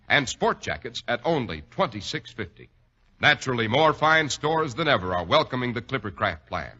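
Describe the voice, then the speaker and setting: A man's voice: medium pitch, pushed and bright, husky. An American man announcing and hosting in 1940s radio style, with polished, emphatic salesmanship. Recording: dull 1940s radio recording.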